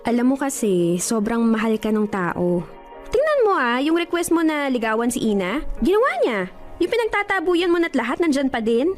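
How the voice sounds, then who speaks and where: A woman playing a pushy, teasing young best friend in a radio drama, with an expressive, coaxing delivery. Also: sits high, normal speed. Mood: scolding. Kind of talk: Tagalog radio drama acting. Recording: music behind the voice. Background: music.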